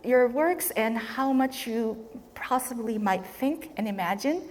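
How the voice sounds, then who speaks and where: A woman moderating a talk and interpreting between speakers with very fast, fluent delivery. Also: a little high, animated, darkish tone, big-room sound, slight background noise. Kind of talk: interpreting between Korean and English. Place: large hall.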